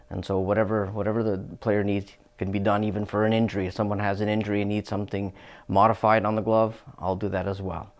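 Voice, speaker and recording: Low-voiced man telling his story. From Canada. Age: middle-aged. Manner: relaxed, many fillers and restarts. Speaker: hockey equipment technician. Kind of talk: interview answers.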